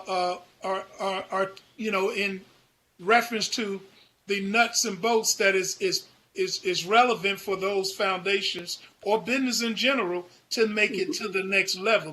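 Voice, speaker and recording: High-pitched, bright-voiced man being interviewed. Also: poor audio. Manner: halting, repeats words. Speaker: foundation founder, guest. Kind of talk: earnest interview talk.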